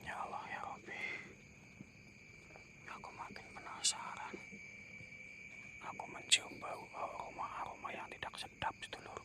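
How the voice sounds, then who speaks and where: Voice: a little slow, pauses often. A companion on a night-time ghost-hunting vlog outdoors, whispering fearfully and murmuring prayers.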